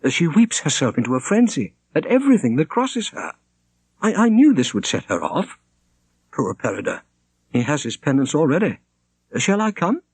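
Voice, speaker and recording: Guy reading as a sharp earnest young woman in mourning, pitched up, lilting lines, soft husk. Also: very animated, normal speed, slightly rough, no room sound, full character voice. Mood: hushed, concerned. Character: earnest, quick-witted grieving young Welsh woman. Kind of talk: audiobook character reading.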